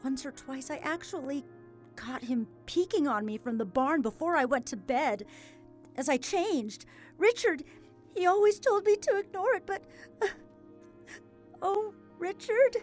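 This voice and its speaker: High-voiced, halting grieving widow. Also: female voice, expressive, a little mellow, faint music behind. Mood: uneasy, tearful. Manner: halting, emotional. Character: grieving, hesitant farm widow. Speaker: voice actor. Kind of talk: audio drama acting.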